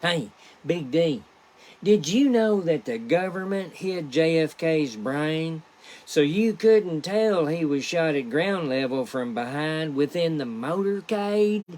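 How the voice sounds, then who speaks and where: A man voicing an excitable, gossipy conspiracy believer for a comedy podcast, speaking insistently. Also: on the high side, slow, voice pushed up. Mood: eager, insistent.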